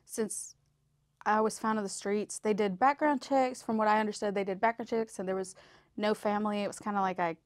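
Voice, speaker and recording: A woman from southern Mexico, a podcast guest, telling her life story in a relaxed way with many ums. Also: mid-range voice, brisk, dry recording, studio quality. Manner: relaxed, frequent ums and uhs. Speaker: podcast guest, medical interpreter. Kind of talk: casual storytelling.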